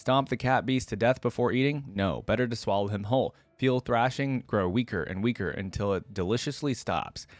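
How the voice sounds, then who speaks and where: A man's voice: lowish voice, normal speed, few pauses, no room sound, soft background music, lightly acted. A man voicing a cruel, hungry giant beast that thinks in clipped, broken fragments in a dramatic story reading. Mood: gloating, hungry.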